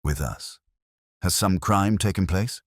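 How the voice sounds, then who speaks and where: A patient police inspector with a very deep voice, read by a male narrator in a detective story. He is mild, tactful and quietly amused, understated in a dry, close sound. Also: unhurried, dry recording. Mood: mild inquiry.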